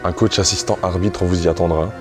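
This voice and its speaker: An actor playing a stern official who questions two applicants formally and sceptically. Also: male voice, low voice, fairly even intonation, brisk, over music, lightly acted.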